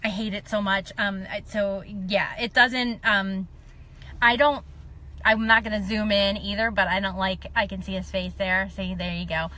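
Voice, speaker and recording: A woman's voice: medium pitch, flat delivery, normal speed, pushed and bright. A middle-aged woman with a slight lisp, chatting casually and self-deprecatingly on a live stream. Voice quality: slight lisp from a dental appliance.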